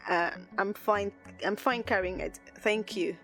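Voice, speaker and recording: Woman as a modest, unhurried young maiden. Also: mid-range voice, faint music behind, natural voice. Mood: polite, dismissive. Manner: casual, gently dismissive. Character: modest, kind young village maiden, politely aloof.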